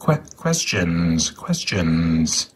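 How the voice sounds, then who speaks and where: A man reading an instruction leaflet aloud in a deliberate, deadpan way, drawing out and repeating some words. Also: low-pitched, breathy edge. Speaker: host of a gaming livestream channel.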